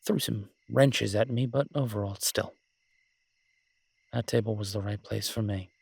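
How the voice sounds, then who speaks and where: Romantic audio roleplay: werewolf boyfriend voiced by a man, husky and lowish, teasing yet devoted, conversational, very dry sound. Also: a little mellow, no room sound, full character voice. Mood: loving. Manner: tender, teasing, halting conversational delivery. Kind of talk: romantic roleplay audio, intimate one-sided dialogue.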